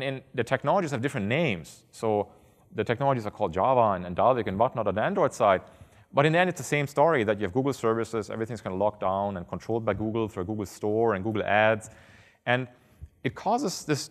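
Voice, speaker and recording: A man in his thirties giving a conference keynote on stage, talking fast and earnestly, often restarting by repeating a word. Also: medium pitch. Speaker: keynote speaker. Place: large conference hall on stage. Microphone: headset. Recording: reverberant hall.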